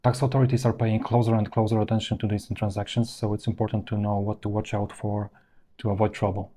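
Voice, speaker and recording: A man presenting an instructional explainer in a measured, structured way, stressing his key points. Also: on the low side, level tone, dark tone, slight background noise. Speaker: presenter of professional explainer videos. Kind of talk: instructional explainer, semi-scripted narration to viewers.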